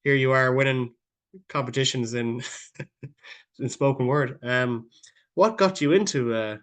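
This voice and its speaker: A man hosts a podcast interview, circling round his questions with plenty of ums and false starts. His voice is at an everyday middle pitch for a man. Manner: rambling, many fillers and restarts. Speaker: podcast host. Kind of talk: casual interview hosting.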